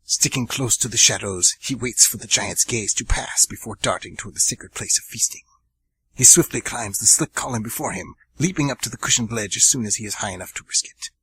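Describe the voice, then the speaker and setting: Breathy man narrating a radio play. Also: on the low side, fairly quick, fairly bright tone, low-quality recording. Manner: earnest storybook narration with casual fillers in links. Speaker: radio theatre host and narrator. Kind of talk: amateur radio drama narration.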